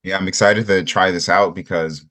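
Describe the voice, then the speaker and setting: A man with a very deep voice talks about his music setup on a video call. He rambles casually, stringing thoughts together with filler words. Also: fairly even intonation, normal speed, pushed and bright. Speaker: student.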